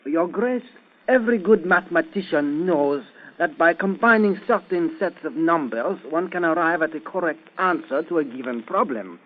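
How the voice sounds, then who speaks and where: Scholarly mathematician: slightly high, pedantic, flustered and deferential, thin vintage radio sound. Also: male voice, lively intonation, normal speed. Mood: pedantic, baffled. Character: fussy, baffled scholarly male mathematician, deferential to a lord. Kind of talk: old-time radio drama acting. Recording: tinny phone-like old radio recording.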